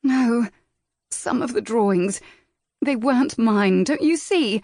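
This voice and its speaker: A woman's voice: a little high, lively intonation, takes it slowly, warm tone, no echo, clean studio sound, pitched above the performer's own voice, exaggerated voice. A woman voicing a timid, distraught young lady's companion in an audiobook, pleading and fretful. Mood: despairing.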